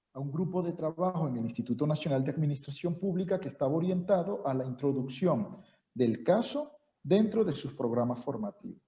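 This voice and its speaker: A middle-aged man from Venezuela with a Venezuelan accent, giving a formal seminar talk over a video call, measured and full of "eh" fillers. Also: fairly high pitch, dark tone. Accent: Venezuelan Spanish. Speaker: university lecturer. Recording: dull echoey video-call audio.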